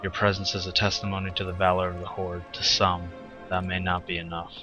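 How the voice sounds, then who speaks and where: A man playing a low, earnest wizard leader. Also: completely flat delivery, brisk, dull audio, music in the background, natural voice. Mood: solemn, resolute. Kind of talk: scripted video game voice acting.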